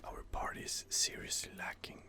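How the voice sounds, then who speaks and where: A man softly whispering relaxed gameplay commentary, hesitant and full of fillers. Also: moderate pace. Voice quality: soft whisper. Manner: hesitant, many fillers and restarts. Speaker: gameplay host.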